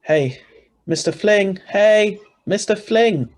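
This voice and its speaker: An actor playing a tense, protective husband in an old-style radio thriller, urgent and plain-spoken. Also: male voice, high-pitched, animated, slow. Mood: urgent calling. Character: tense, protective ordinary husband, hard-boiled narrator. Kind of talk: 1940s-style radio drama acting and narration. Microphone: video call.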